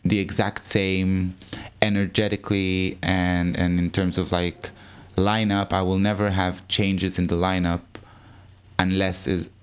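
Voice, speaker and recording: Man, low voice. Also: a little slow, clear, resonant tone. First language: Hebrew. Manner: animated, laughing, restarts sentences. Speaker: drag performer guest. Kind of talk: casual interview chat.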